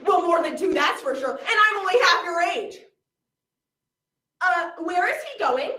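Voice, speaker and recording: Woman acting vain diva voice actress, theatrical, very high pitch, distant mic. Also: lively intonation, a little slow, roomy sound, exaggerated voice. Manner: theatrical, big swings in pitch and loudness. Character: vain, self-important female cartoon voice actress. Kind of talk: comic stage monologue.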